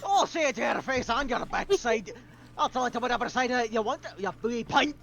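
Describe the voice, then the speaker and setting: A woman improvising a loud, cheeky, brawling lad with a Scottish accent. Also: a little high, expressive, a little fast, gravelly, slight background noise. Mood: boisterous, taunting. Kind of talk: improvised character voice.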